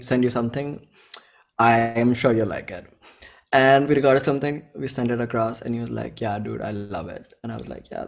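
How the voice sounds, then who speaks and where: A man's voice: medium pitch, a little mellow. Young Indian-accented man, casual and rambling. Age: 20s. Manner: informal, rambling, repeats phrases, acts out bits of dialogue. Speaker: musician, interview guest. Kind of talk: casual conversational interview.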